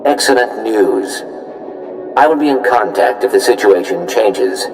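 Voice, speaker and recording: A man's voice: on the low side, expressive, measured pace, over music. A man voicing a courteous protocol droid in a video game, speaking formally and precisely with deference. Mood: formal, helpful. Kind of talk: scripted video game acting. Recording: roomy echo, clear background noise.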